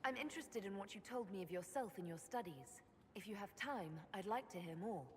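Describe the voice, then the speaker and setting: An actress playing a composed, inquisitive leader of a fantasy order in a video game, speaking in a measured, earnest way. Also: female voice, medium pitch, animated, in a large hall, slight background noise, lightly acted. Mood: gentle concern. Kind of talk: dramatic video game dialogue.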